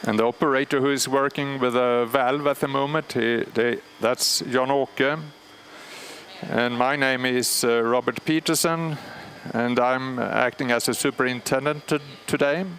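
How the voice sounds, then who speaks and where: A man with a Swedish accent calmly explaining, step by step, how an old radio transmitter is started, pausing often with fillers. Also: mid-range voice, slight background noise. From Sweden. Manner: measured, explanatory, frequent pauses and fillers. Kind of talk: live technical commentary. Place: large machine hall at a radio station. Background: humming machinery and alarm bells.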